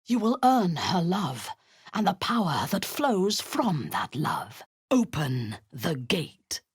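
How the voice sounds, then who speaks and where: An actress voicing a ruthless drow woman commander who leads zealous raids, speaking in a cold, commanding voice with a husky edge that often sinks into a whisper. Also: on the low side, very animated, slow pace, dry recording, studio quality, full character voice. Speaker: game character. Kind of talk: video game voice lines.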